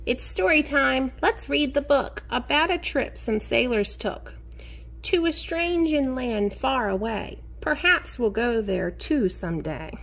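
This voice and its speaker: A woman teacher reading a picture book aloud to young children in an expressive sing-song way, encouraging them and asking them questions. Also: sits high, gravelly. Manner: expressive, sing-song, drawn-out key words; encouraging, addresses children directly.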